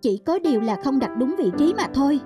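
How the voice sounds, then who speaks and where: A woman voicing a gentle, patient young wife in a cartoon folk tale, speaking in soft, reassuring, measured phrases. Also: high-pitched, very animated, over music. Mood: tender, encouraging. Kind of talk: animated moral-tale voice acting.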